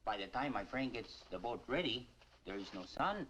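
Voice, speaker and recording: An actor playing a Mexican waterfront local in an old film, a native Spanish speaker with a Mexican accent. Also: male voice, medium pitch, big pitch swings, moderate pace, noisy background, close to an everyday voice. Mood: businesslike. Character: animated Mexican waterfront local, wary but excitable. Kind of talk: 1950s film acting.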